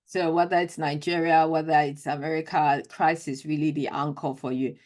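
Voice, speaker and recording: Low-voiced woman hosting an interview. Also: fairly even intonation, normal speed, a little mellow. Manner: asks long multi-part questions, affirms often. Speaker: podcast host. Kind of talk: conversational interview.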